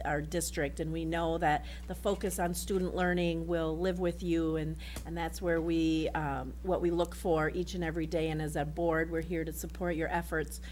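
A middle-aged woman as board president, thanking new staff in measured, gracious remarks. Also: on the low side, a little hiss or hum. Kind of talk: formal meeting remarks. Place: board meeting room. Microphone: desk mic.

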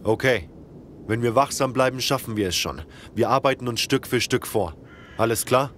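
An actor playing a steady, observant male survivor who takes the lead in an audio drama. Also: mid-range voice, moderate pace, a little hiss or hum, lightly acted. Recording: reverberant space, loud outdoor ambience.

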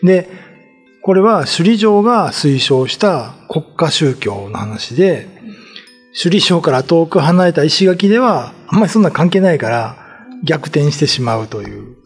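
A man's voice: a little high, sing-song, measured pace, slightly rough, in a large hall, faint music behind. A middle-aged man informally explaining folklore as a commentator, heard over a video call.

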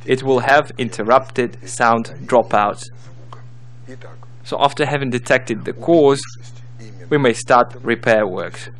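A man's voice: medium pitch, slow. Man narrating a repair tutorial. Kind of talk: scripted instructional narration.

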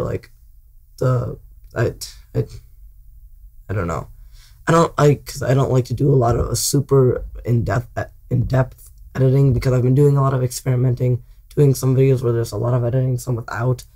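A teenage boy talks straight to camera at a desk mic in his small bedroom. His voice is mellow and worn hoarse, and he rambles, restarts his sentences and leans on fillers. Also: mid-range voice. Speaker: channel host. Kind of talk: casual vlog talk to camera. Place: small cluttered bedroom, indoors. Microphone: desk mic in front of him.

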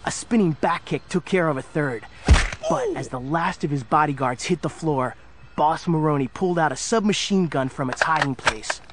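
An actor playing an earnest, youthful superhero sidekick, narrating a children's audio drama. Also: male voice, on the high side, big pitch swings, moderate pace, heavy background noise. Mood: earnest storytelling. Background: music.

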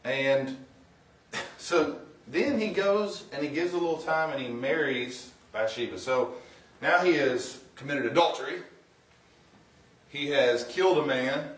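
A middle-aged man giving an informal, somewhat halting sermon as a guest preacher, mixing plain talk with scripture reading. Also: fairly high pitch, fairly bright tone. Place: church sanctuary, indoors. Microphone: far camera. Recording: roomy, distant from the microphone.

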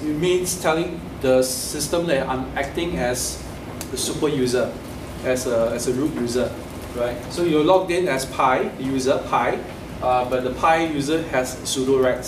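A man in his forties with a Singaporean English accent explains setup steps to a meetup crowd, looping back over his own phrases. His voice sounds distant and bounces around an echoey meeting room. A steady hiss of room noise sits under everything. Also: fairly high pitch. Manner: loose, restarts phrases, explains step by step, gestures at screen. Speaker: meetup speaker. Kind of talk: live tech talk with slides. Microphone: room camera mic from a distance.

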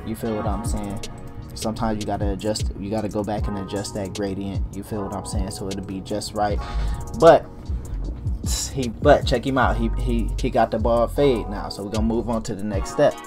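A man's voice: on the low side, moderate pace. Young man, laid-back tutorial chatter, music behind. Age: young adult. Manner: hyped barber persona at the start, then relaxed mumbling while working. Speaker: cartoon animator and channel host. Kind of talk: casual tutorial vlog with slang. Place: home studio at desk. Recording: music playing under the voice.

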